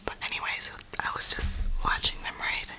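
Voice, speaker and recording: A young woman rambling casually in a soft, breathy ASMR whisper, with informal, slangy phrasing. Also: moderate pace, low-quality recording. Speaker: ASMR gaming streamer.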